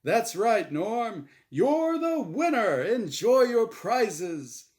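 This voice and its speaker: A man voicing a gleeful, showy game show host from the afterlife in a story reading, with exclamatory, drawn-out announcer delivery. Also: high voice, very animated, darkish tone, pitched above the performer's own voice, exaggerated voice. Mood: triumphant.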